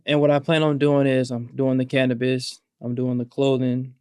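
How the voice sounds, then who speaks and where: A man's voice: medium pitch, little pitch movement, normal speed, a little mellow, clear, resonant tone. A man who is a guest in a casual interview, easygoing and agreeable, mostly giving short replies. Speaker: guest, rapper.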